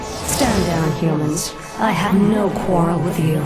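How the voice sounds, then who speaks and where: An actress playing a cold, commanding, vengeful undead elf queen in a video game, with a breathy edge. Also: on the low side, takes it slowly. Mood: stern, calculating. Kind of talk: video game cutscene acting. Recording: loud music behind the voice.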